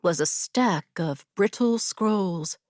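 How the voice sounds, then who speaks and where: A woman narrating a scripted fantasy story as an audiobook reader, measured and deliberate. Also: fairly low pitch, expressive, darkish tone, no room sound, studio quality.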